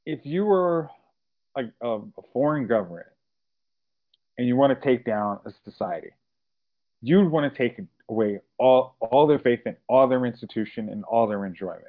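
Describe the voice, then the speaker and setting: Podcast co-host, mid-pitched man, rambling explainer, tinny phone-like audio. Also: animated, normal speed. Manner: rambling, restarts, explaining at length. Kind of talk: casual podcast chat.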